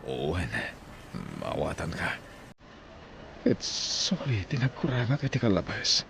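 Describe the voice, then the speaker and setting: A man's voice: low-pitched, big pitch swings, slow, vocal fry, a bit bright, a little hiss or hum, full character voice. A man playing a regretful, humble estranged father in a radio drama, with an Ilocano accent. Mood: apologetic, sad. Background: music bed.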